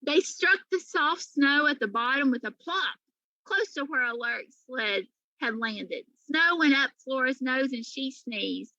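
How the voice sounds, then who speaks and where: A woman reading a children's story aloud in an animated, emphatic way, like a teacher to pupils. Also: high voice, measured pace, dry recording, lo-fi sound.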